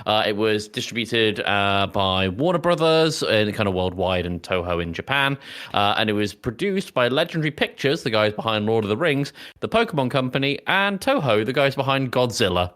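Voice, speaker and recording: A man's voice: fairly low pitch, animated, normal speed, a bit bright. Casual male podcast host, chatty. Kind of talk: casual film discussion.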